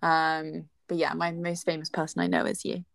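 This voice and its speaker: Lower-voiced woman chatting casually. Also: monotone, moderate pace, vocal fry. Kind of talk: casual conversation.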